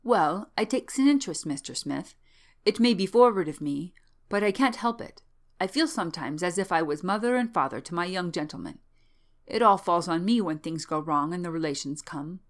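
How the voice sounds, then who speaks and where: A woman's voice: fairly low pitch, expressive, normal speed. A woman voicing a wrinkled old manservant in an audiobook, deferential, chatty and worried, with rustic, servile speech. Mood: worried, confiding. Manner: servile, rustic ungrammatical speech, frequent "sir". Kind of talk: audiobook character voice.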